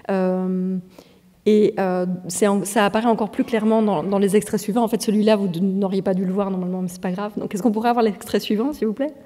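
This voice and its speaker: Woman presenting video clips in a hall. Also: mid-range voice. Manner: explanatory, relaxed, with fillers. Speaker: trainer presenting on radicalisation. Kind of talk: presentation to an audience.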